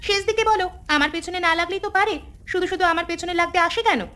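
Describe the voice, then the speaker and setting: A woman's voice: very high voice, very fast, pushed and bright. A woman voicing a bickering sister-in-law in a village family cartoon, scolding and complaining. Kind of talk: cartoon family drama dialogue.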